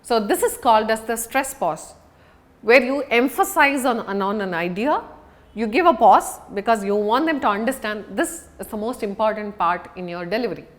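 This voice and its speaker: A woman giving an instructional lesson as a public speaking coach, talking in a deliberate, emphatic way with pauses for stress. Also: fairly high pitch, animated.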